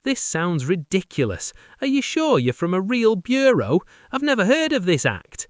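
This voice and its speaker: A man voicing a young woman hotel receptionist in a comic prank-call story, crisp and professional. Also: high voice, huge pitch swings, normal speed, dry recording, studio quality, voice pushed up. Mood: irritated, sceptical. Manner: crisp customer-service politeness. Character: polite, efficient young woman hotel receptionist, increasingly sceptical.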